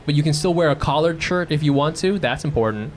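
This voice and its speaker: A man's voice: fairly high pitch, fast talker, a little hiss or hum. A man co-hosting a podcast, chatting casually and joking, often laughing. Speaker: podcast co-host. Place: live show with audience.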